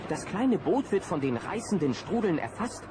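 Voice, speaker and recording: A man's voice: high voice, big pitch swings, moderate pace. A man as the narrator of a German children's audio drama, telling the story from a script. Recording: noisy old cassette transfer.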